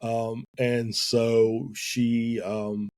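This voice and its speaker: A man with a Southern US accent chats as a guest over a call. He speaks in loose, halting phrases, full of fillers and restarts. His voice sits in an ordinary middle register. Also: mid-range voice, flat delivery, darkish tone. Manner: halting, many fillers and restarts, chuckles often. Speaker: guest, sim racing team co-owner. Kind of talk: casual podcast interview.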